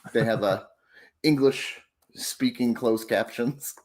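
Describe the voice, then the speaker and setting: A man's voice: mid-range voice, slow, darkish tone. A man from the United States co-hosting a podcast, joking and teasing in casual chat. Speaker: podcast co-host.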